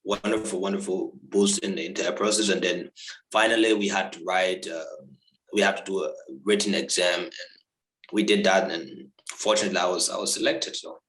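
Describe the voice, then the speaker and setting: A man's voice: low voice, steady tone, fairly quick, a bit bright, reverberant, low-quality recording. A young man from Liberia being interviewed over a video call, talking casually with many fillers and repeated words. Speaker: interviewee, law graduate.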